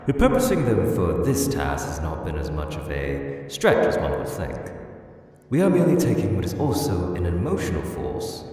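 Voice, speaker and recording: Man voicing a deep, echoing, weary skeleton scientist. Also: a little mellow. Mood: lecturing. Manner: precise, formal, lecturing. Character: tall, weary skeleton scientist, stern, exasperated and formal. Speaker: voice actor in a fan comic dub. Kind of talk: dramatic comic dub acting. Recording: very reverberant hall, distant, music behind.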